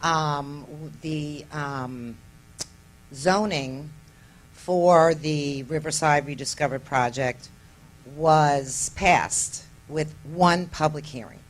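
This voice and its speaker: A woman's voice: sits low, drawn-out words. A woman from the audience at a community meeting asks a question hesitantly and searches for words. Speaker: resident asking a question.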